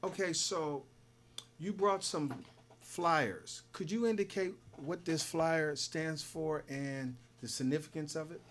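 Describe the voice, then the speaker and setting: A man's voice: a little high, slow, fairly bright tone. A man hosting a business talk show, giving formal, announcer-like introductions to his guests.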